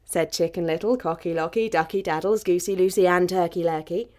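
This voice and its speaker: A woman storyteller narrating a children's fairy tale in an expressive, rhythmic way. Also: fairly low pitch, fairly quick.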